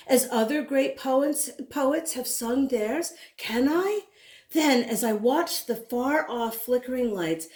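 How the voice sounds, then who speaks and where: Woman, storybook read-aloud, unhurried pace, dramatic deliberate phrasing, roomy echo. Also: a little high, a little mellow. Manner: dramatic, deliberate phrasing with drawn-out words and emphatic repetition. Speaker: children's picture book reader. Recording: roomy, echoing indoor sound.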